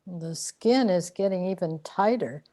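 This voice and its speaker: A woman playing a weary, complaining wanderer in a radio play, plaintive and deadpan. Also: fairly low pitch, unhurried, lightly acted. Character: weary, complaining wanderer trapped in a too-tight body.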